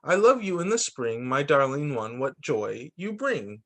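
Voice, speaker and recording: Lively male teacher reading storybooks aloud. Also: mid-range voice, measured pace. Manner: expressive, sing-song storybook delivery. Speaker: teacher reading to young children. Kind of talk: read-aloud children's story time. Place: remote video call story session.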